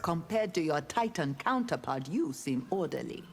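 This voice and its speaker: An actress playing a stern, disdainful warrior in a video game, cool in delivery. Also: female voice, low-pitched, lively intonation, moderate pace, in a large hall, slight background noise. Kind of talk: video game fighter dialogue.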